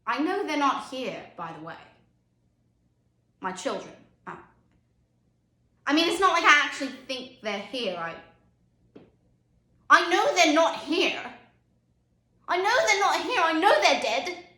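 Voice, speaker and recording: A woman's voice: high voice, big pitch swings, moderate pace, long pauses, pushed and bright, full character voice. A woman performing a dramatic monologue as a driven, candid, haunted mother, speaking emphatically with theatrical swings in volume. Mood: pained, insistent. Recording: roomy echoing sound.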